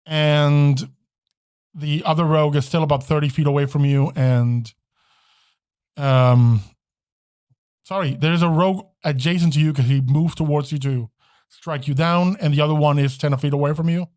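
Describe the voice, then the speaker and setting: Halting male game master, fairly high voice. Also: steady tone, a little mellow, dry recording, studio quality. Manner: halting, self-correcting. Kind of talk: improvised tabletop game narration.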